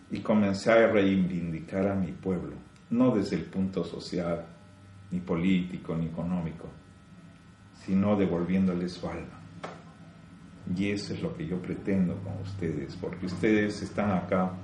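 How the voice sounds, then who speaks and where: Male spiritual teacher, low voice, echoey room, slow emphatic delivery, rhetorical questions. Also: a little mellow, a little hiss or hum. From Peru. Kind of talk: spiritual teaching talk to a group.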